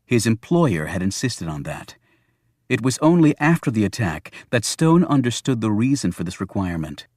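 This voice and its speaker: Male narrator, lower register, scripted thriller audiobook, very dry sound. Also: sing-song, moderate pace, a little mellow, no echo.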